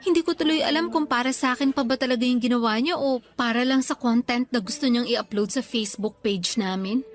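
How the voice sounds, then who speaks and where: A woman's voice: on the high side, brisk, faint music behind, natural voice. An actress playing a troubled young working girlfriend who confides in her friend, in earnest conversational Filipino radio drama style with a Filipino accent. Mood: doubtful, frustrated.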